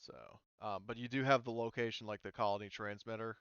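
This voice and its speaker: A man's voice: lowish voice, fairly even intonation, brisk, fairly bright tone, smooth, no echo, highs cut off. A man running a tabletop role-playing game as game master, explaining things in a casual, informal way. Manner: informal, sweary.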